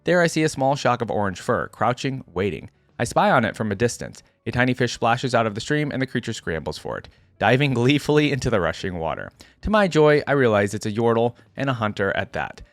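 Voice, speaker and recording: A man reading a first-person storybook tale as a proud, confident jungle hunter, in a measured, solemn way. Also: medium pitch, very animated, dry recording. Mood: determined. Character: proud, confident jungle hunter narrating his own hunt.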